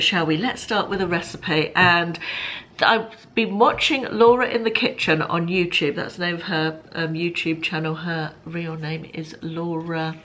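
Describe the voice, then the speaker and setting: A British woman in her fifties vlogging casually to camera, chatty and rambling, sounding slightly bunged up, in a bright living room. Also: on the low side. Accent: British English. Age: 50s. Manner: chatty, friendly, rambling with fillers and self-corrections. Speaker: lifestyle vlogger.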